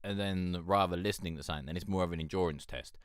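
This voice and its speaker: A man with a deep voice hosting a music review show, talking frankly and loosely, swearing and rambling with lots of fillers. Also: fast talker, clear, resonant tone, no echo, clean studio sound. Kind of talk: casual chat reviewing submitted songs.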